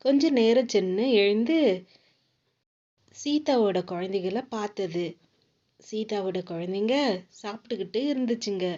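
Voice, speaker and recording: A woman's voice: mid-range voice, unhurried, pauses often, mellow. Female narrator for kids' animal tales: mid-range voice, storytelling delivery, slightly muffled recording. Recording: dull lo-fi audio.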